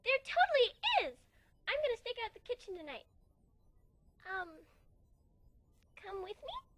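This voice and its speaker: A man voicing a cheerful, excitable pegasus mare in a squeaky, gushing, sing-song voice for an amateur dramatic story reading. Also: measured pace, no room sound, heavily acted. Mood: bubbly, excited. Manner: bouncy and excited, teasing. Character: cheerful pegasus mare, bubbly, turns demented.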